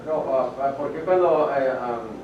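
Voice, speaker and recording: A man taking part in a Bible study, asking spontaneous questions in a halting way, searching for his words. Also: mid-range voice. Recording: noisy, muffled, echoey, far from mic.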